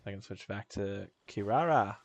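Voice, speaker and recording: A man's voice: fairly low pitch, expressive, normal speed, dull audio. A man giving casual, jokey gameplay commentary as a streamer, full of slang. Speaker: game streamer. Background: game music.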